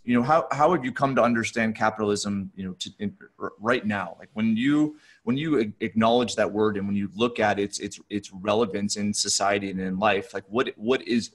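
A middle-aged man hosting a conversational podcast interview over video call, speaking haltingly and rephrasing as he goes. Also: lowish voice. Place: small furnished room at home or office, on a video call.

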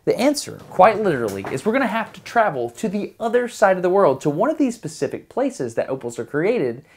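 Lively Southern man explaining with excitement. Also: sits high, brisk, breathy edge. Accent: Southern American. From Alabama, US. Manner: curious, excitable, asks many questions. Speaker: science video host. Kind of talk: enthusiastic explainer narration. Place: home office; outdoor desert site.

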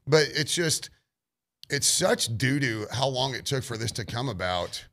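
A man's voice: medium pitch, animated, a little slow, vocal fry, a bit bright. A man co-hosting a sports radio show, talking in a heated, rambling, opinionated way.

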